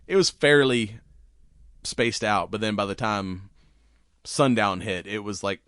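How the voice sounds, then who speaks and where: A man co-hosting a podcast, chatting casually and rambling with laughs, his voice raspy and worn. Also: fairly low pitch, a little slow, a bit bright, no echo, clean studio sound. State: raspy, voice worn from cigars and whiskey. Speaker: podcast co-host. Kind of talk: casual chat.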